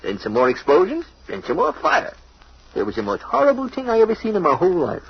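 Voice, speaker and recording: A slangy tough-guy crook from a vintage radio crime play, pleading his innocence. His voice is very husky and swings up and down, on a dull old recording. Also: sits high, wildly swooping pitch, fast, full character voice. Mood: earnest, insistent. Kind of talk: old-time radio crime drama acting.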